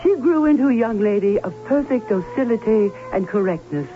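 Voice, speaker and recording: An actress playing a prim, anxious, fussy middle-aged aunt who tells her story in an old radio drama, with dramatic emphasis and deliberate pauses. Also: fairly high pitch, expressive, close to an everyday voice. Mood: indignant, dramatic. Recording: dull vintage radio recording, faint music.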